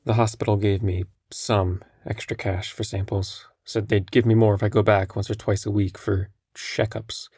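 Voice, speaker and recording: A man playing a terminally ill young patient in an intimate audio drama, speaking in a husky, creaky voice with a halting, understated manner. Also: fairly low pitch, level tone, darkish tone, no room sound, clean studio sound. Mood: gentle, wry. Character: young terminally ill male hospital patient, numb, gently self-deprecating.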